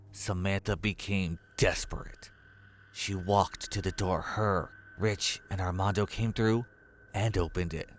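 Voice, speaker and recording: Deep-voiced man narrating a horror story. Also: slight background noise.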